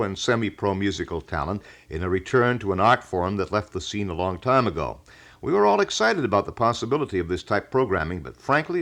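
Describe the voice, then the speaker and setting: A man's voice: on the low side, a bit bright. A man with an American accent hosting a local radio broadcast in a formal, wordy, old-fashioned announcer style. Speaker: radio talk show host. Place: radio studio.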